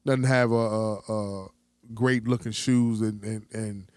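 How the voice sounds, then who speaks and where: A man's voice: lowish voice, fairly even intonation, takes it slowly, darkish tone, smooth, no echo. A man with an American accent co-hosting a casual sports podcast, talking in a challenging, questioning, slangy way. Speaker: podcast host. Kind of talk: casual sports debate chat.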